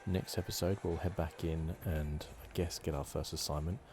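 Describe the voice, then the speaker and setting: A man giving soft, relaxed ASMR commentary over a video game. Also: a bass voice, moderate pace. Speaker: gaming channel host. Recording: clear background noise. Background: game ambience.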